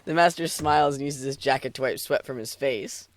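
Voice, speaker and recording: A man's voice: mid-range voice, fairly quick. A man streaming, switching between casual, sweary banter and narrating a story aloud. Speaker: streamer reading fan fiction aloud.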